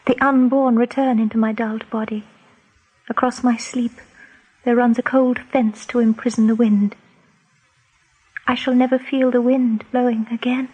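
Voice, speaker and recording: An actress playing a gentle, despairing young female rabbit in a radio play, plaintive and poetic. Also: a little high, little pitch movement, moderate pace, long pauses, husky, muffled recording. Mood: mournful, plaintive.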